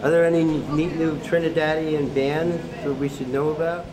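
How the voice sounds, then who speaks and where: A man's voice: on the high side, level tone, normal speed, low-quality recording. A man asking casual questions as an interviewer. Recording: crowd chatter behind the voice.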